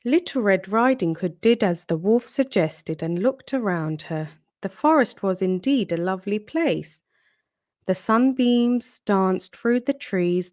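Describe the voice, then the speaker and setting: A woman storyteller narrating a children's storybook in an expressive read-aloud style. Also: mid-range voice, dry recording, clean studio sound.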